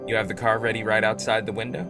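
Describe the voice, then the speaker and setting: Man acting a low-voiced, commanding masked vigilante. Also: level tone, music in the background, lightly acted. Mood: brisk, secretive. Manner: curt, measured, menacing. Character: cool, commanding masked male vigilante hero. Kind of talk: old-time radio acting.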